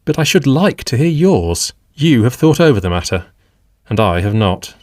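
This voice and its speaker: Audio drama: level-headed, avuncular Victorian friend played by a man, animated delivery, reasoning and doubtful, dry studio-like sound. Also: medium pitch, normal speed, no echo, close to an everyday voice. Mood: puzzled, curious. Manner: reasoning, expressive, formal period speech.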